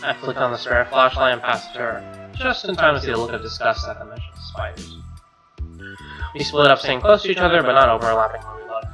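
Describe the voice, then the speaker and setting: A man reading a visual novel's narration aloud as a let's play host, wry and expressive, over faint music. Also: mid-range voice, quick pace. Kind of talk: reading game narration with asides. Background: game music.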